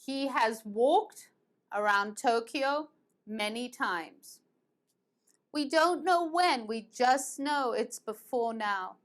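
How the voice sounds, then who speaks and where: Slow, patient woman teaching grammar. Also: fairly high pitch. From United States and England. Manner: slow, patient, repeats verb forms. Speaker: English teacher. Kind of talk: online grammar lesson.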